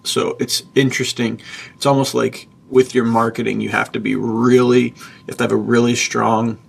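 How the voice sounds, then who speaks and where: A man with a very creaky, husky voice co-hosts a podcast in a loose, chatty style. He is enthusiastic and slangy, talking as if to a good buddy. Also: medium pitch, flat delivery, moderate pace. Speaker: podcast co-host and writer. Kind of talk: casual podcast chat.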